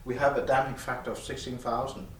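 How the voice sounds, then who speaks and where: A man who speaks as a gives a halting technical explanation of audio gear as its designer. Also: mid-range voice, steady tone, a bit bright. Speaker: loudspeaker designer presenting gear at an audio show. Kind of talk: technical product explanation in conversation. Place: indoor demo room at an audio show. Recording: echoey room, distant mic, faint noise.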